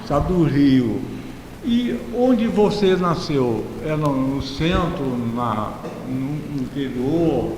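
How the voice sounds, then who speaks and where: A man's voice: fairly high pitch, expressive, takes it slowly, darkish tone. A Brazilian man hosting an informal TV interview in an effusive, playful way, stretching out phrases for emphasis. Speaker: talk show host. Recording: reverberant hall, clear background noise.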